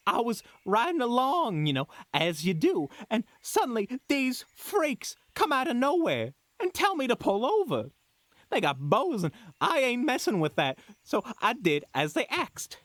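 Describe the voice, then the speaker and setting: A man playing a desperate, chatty male merchant in a fantasy audio roleplay, with a folksy, excitable, pleading way of talking. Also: extremely high pitch, measured pace, no echo, full character voice. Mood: flustered, relieved. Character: desperate, chatty male merchant who was just robbed.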